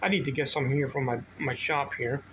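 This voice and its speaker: A man's voice: medium pitch, fairly even intonation, fairly quick. An older man giving a casual, rambling show-and-tell, drifting between informal asides as he talks. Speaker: vintage bike collector demonstrating his gear. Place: indoor home workshop room. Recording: noticeable background noise.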